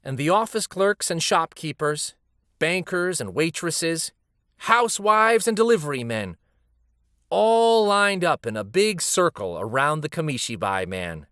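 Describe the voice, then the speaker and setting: A man narrating a children's picture book aloud in an expressive storytelling style. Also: fairly high pitch, measured pace, no echo, studio quality.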